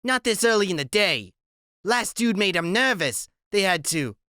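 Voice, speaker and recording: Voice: mid-range voice, very animated, normal speed, sharp tone, no room sound, studio quality, voice pushed up. A reader voicing a squinting, chain-smoking woman in a robe who talks in a nagging, fretful way.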